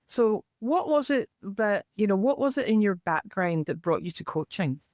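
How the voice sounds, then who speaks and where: A woman hosting a podcast interview, encouraging and quick with short affirmations. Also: mid-range voice, expressive, darkish tone, no room sound, studio quality. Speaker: podcast host, menopause coach.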